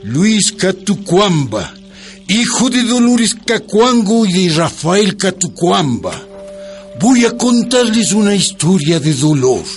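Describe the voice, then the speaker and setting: Slow Andean peasant narrator, high-voiced. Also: male voice, big pitch swings, full character voice. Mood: solemn, sorrowful. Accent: Ecuadorian Andean. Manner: solemn storytelling. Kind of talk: scripted radio drama narration. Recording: music bed under the voice.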